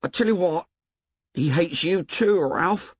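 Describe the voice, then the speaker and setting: A man voicing a fussy, anxious schoolboy who pleads in a squeaky, worried voice. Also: unhurried, breathy edge, dry recording, dull audio. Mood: earnest. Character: anxious, fussy plump schoolboy, bossed and scared.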